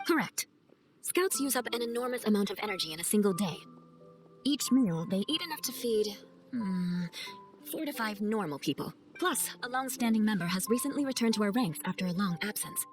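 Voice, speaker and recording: A woman's voice: mid-range voice, animated, brisk, soft background music, lightly acted. A woman voicing a proud, aloof young knight captain in a video game, speaking formally and matter-of-factly. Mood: businesslike. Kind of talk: game cutscene voice acting.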